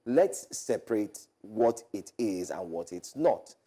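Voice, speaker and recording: Nigerian man, low mellow voice. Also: slow, slightly rough. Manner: animated, chatty, trails off and restarts. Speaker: morning show co-host. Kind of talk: casual studio chat. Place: large TV news studio.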